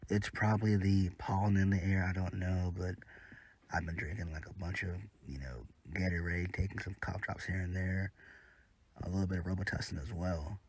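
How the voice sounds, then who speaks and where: A man's voice: deep voice, steady tone, normal speed, breathy edge, poor audio. A man casually reviewing a wrestling show, congested from a head cold, rambling with lots of ums and the odd swear. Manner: laid-back, rambling, frequent ums and uhs, swears casually.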